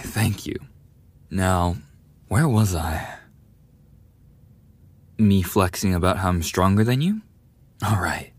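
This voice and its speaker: A man's voice: fairly low pitch, slightly rough, no room sound, full character voice. A man playing a brash, defensive young male bully with a desperate side, swearing and taunting in an audio roleplay. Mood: grudging pleading. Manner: foul-mouthed, taunting, defensive talk to the listener. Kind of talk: boyfriend ASMR audio roleplay acting.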